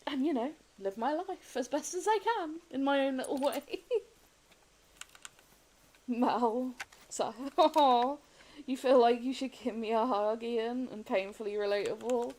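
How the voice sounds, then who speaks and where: A woman streaming a game, sniffly, chatting candidly and rambling with frequent soft laughs. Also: a little high, a little slow. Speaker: gaming streamer. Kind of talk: casual chat while gaming.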